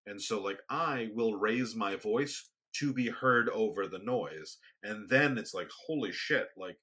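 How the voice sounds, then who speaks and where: A soft-spoken man co-hosting a casual podcast, rambling with frequent restarts. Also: lively intonation, a little slow, husky. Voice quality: booming when raised. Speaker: podcast co-host. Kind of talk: casual conversation. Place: home studio, remote recording.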